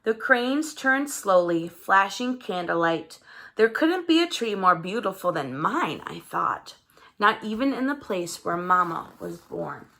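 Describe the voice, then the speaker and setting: A woman reading a children's story aloud in an expressive, dramatized way. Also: medium pitch, unhurried, gravelly. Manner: animated, dramatized delivery with character voices. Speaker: storybook reader.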